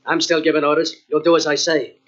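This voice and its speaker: A menacing gangster with big ideas and a frayed temper, played by a male radio actor. His voice sits fairly high for a man, and he talks in bossy tough-guy slang. The sound is that of a muffled old radio crime show. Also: little pitch movement, quick pace, fairly bright tone, close to an everyday voice. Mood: bossy, grandiose. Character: menacing, grandiose gangster escaped from execution, growing frantic.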